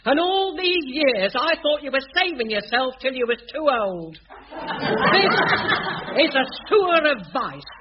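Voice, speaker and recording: High, scolding, prim indignant sister. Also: female voice, sing-song, measured pace. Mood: outraged. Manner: scolding, outraged. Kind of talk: 1980s British radio sitcom acting. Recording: dull, very noisy old radio recording.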